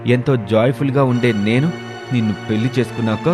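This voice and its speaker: A man's voice: medium pitch, steady tone, brisk, music in the background, natural voice. A man voicing a self-pitying, irritable young husband in a story, complaining resentfully. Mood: bitter, irritated.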